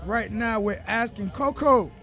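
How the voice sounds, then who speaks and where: Casual male podcast host, high voice, loose delivery, swears freely; light party music underneath. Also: unhurried. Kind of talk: casual chat. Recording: music playing behind. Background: music from an event.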